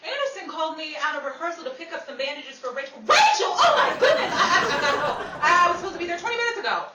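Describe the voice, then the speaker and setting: A woman's voice: extremely high pitch, sing-song, rapid-fire. A woman playing a sassy, wisecracking 1930s New York actress, theatrical and wordy, in a screwball radio comedy style. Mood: alarmed, flustered. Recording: echoey, distant, noisy live room with crowd.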